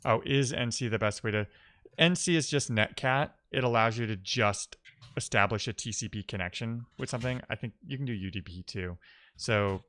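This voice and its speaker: Male tech streamer, lowish smooth voice, casual, mutters through problems with dry playful asides. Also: moderate pace, fairly bright tone. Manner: muttering to himself while troubleshooting. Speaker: live coding streamer. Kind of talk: casual thinking-aloud tech commentary.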